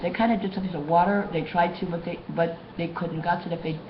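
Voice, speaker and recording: A hoarse woman talking to her webcam in a rambling, repetitive way, often restarting her sentences. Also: on the low side, fairly even intonation, quick pace, dull audio, background noise. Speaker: vlogger. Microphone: webcam mic.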